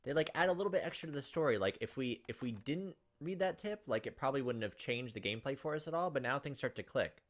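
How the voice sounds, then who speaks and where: A man's voice: medium pitch, lively intonation, fast talker, hardly any pauses. A young man reading game text aloud and commenting casually as a let's play host. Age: young adult. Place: indoors at a computer, webcam.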